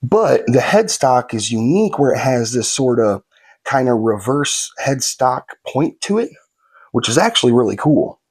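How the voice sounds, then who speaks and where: A man's voice: mid-range voice, sing-song, normal speed, a little mellow, husky. A man narrating a how-to build in a laid-back, folksy way while he works, sniffly from a slight cold. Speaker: guitar kit builder.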